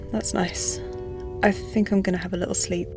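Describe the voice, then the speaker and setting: Casting: subdued human woman, sci-fi audio drama, lowish creaky voice, weary and closed-off. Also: flat delivery, unhurried, music in the background. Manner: subdued, terse. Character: withdrawn, weary human woman adrift among strangers.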